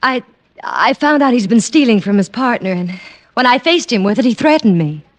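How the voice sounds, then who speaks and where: An actress as a sultry frightened client. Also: female voice, fairly high pitch, animated, moderate pace, close to an everyday voice. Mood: anxious. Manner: sultry, suggestive. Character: seductive woman client claiming her husband wants her dead. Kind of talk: 1950s radio drama acting. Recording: old radio broadcast recording.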